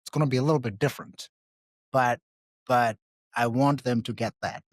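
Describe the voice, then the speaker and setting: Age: adult. language: Swahili. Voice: male, mid-pitched. Delivery: measured, reflective, pauses to choose words. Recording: dry, close. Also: steady tone, no echo. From Tanzania. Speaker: podcast guest, social work scholar. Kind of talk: conversational interview. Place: live recording at a conference.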